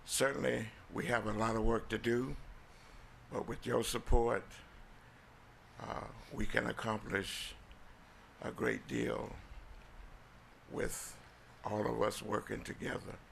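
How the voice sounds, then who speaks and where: An American man, a pastor, giving a solemn, deliberate speech of thanks with halting phrasing at a council meeting. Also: lowish voice, little pitch movement, creaky, a bit bright, slight background noise. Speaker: pastor, guest speaker. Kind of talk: formal thank-you speech with blessing. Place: city council meeting room.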